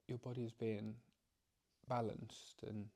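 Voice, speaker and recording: A man leading a guided meditation as its teacher, speaking calmly and gently, haltingly, with frequent pauses and repeated phrases. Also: on the low side, vocal fry. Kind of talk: guided meditation, softly instructive.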